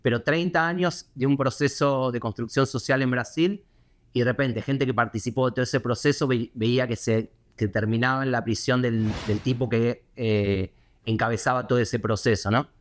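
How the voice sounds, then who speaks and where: A man's voice: medium pitch, fairly even intonation. Reflective Buenos Aires man. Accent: Buenos Aires Spanish. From Argentina. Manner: reflective, halting with many fillers and restarts. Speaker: correspondent. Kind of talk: interview answers.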